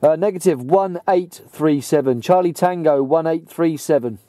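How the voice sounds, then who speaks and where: A man's voice: fairly high pitch, normal speed, dark tone, dry recording. A man giving brief operator replies over a two-way CB radio.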